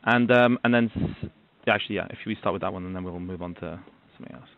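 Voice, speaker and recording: A man's voice: low-pitched, fast, creaky, dry recording. A man asking an oil company questions on a conference call, polite and somewhat hesitant. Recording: tinny phone line.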